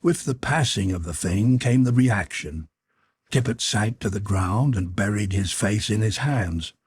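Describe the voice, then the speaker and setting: Very husky male audiobook narrator. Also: on the low side, measured pace, no echo, studio quality. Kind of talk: scripted literary narration.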